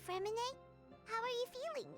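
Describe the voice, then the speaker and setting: Squeaky, chirpy little fairy companion. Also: female voice, normal speed. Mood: concerned. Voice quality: squeaky, childlike. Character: chirpy small childlike floating fairy companion, refers to self by name. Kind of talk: video game voice acting. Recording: loud music behind the voice.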